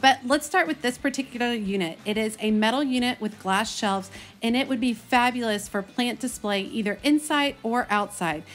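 A woman's voice: on the high side, moderate pace. A woman in her thirties with a Texan accent, narrating a casual vlog in a chatty, enthusiastic, folksy way. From Texas, United States. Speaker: host of a gardening video channel.

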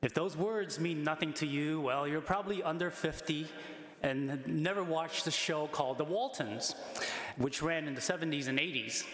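A middle-aged priest preaches in a measured, earnest way, his voice a touch higher than most men's. He speaks into a lectern microphone, and the large church sanctuary around him gives a roomy echo with a long reverb tail. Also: a bit bright. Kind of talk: sermon.

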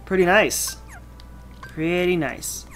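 A woman's voice: lowish voice, slow. A woman doing casual video game commentary, sometimes reading game dialogue aloud. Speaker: gamer, let's player. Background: game music.

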